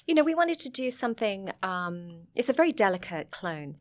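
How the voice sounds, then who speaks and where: A middle-aged English woman from Sussex talks in a frank, chatty way, her accent plainly southern English. Her pitch sits at an ordinary middle level, and she laughs easily between her thoughts. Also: big pitch swings, moderate pace, fairly bright tone. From England. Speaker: guest. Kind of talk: casual interview chat.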